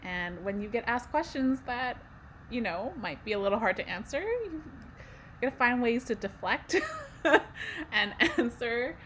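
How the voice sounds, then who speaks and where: A woman's voice: on the high side, animated, unhurried, fairly bright tone. Young female co-host from Canada, chatty and easygoing, slight background hiss. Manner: conversational, many fillers, light laughs. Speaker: podcast co-host. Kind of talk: casual podcast chat.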